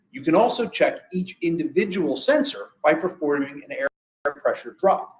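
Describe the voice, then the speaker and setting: A man's voice: a little high, poor audio. A man presenting product guidance in a conversational, instructional style as an expert in a webinar.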